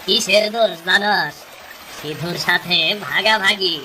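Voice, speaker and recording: A woman's voice: on the low side, measured pace, bright, forceful tone, a little hiss or hum, poor audio. A woman voicing a sly, greedy village fisherman in a cartoon, insinuating and goading, with a rural Bangladeshi Bengali accent. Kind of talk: cartoon story dialogue.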